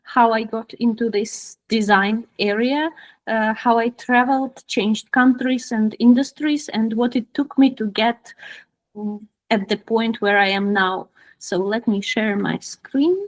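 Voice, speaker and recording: A woman with a fairly high voice and a Ukrainian accent presents her own story to an online group. She speaks informally, with hesitant pauses as she looks for words. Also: fairly even intonation, low-quality recording. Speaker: guest speaker, product designer. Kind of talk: personal career talk to an online group. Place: online video call.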